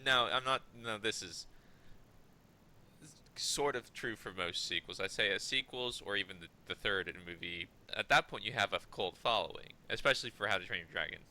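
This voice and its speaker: Very bright, sharp-voiced man, lowish pitch. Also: a little fast. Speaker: podcast co-host. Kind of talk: casual discussion.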